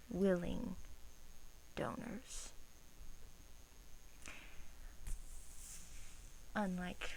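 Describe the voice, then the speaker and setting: A woman playing a coaxing, politely menacing vampire in a soft-spoken ASMR roleplay, gentle and hesitant, often slipping into a whisper. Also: medium pitch, a little hiss or hum, poor audio. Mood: amused, wry.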